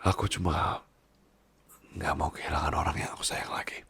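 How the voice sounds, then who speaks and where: A man's voice: rumbling low, little pitch movement, moderate pace, gravelly, sharp tone, husky, heavily acted. A man playing a doting, worried boss husband who is clingy like a big baby, coaxing and teasing tenderly in an intimate ASMR roleplay. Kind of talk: intimate ASMR boyfriend-style roleplay in casual talk.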